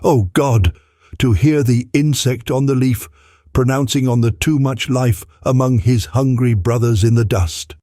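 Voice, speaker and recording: A solemn male Christmas spirit acted by a man in an audiobook drama. The voice is mellow and dark, closely and dryly recorded, with stately declamation and stern admonishment. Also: mid-range voice, fairly even intonation, a little slow, no echo, clean studio sound, lightly acted. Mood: indignant rebuke.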